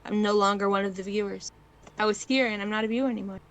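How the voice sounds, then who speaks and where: A woman's voice: mid-range voice, fairly even intonation, fast, a bit bright. A woman narrating fan fiction informally and chatting over an online voice call. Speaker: narrator, stream reader.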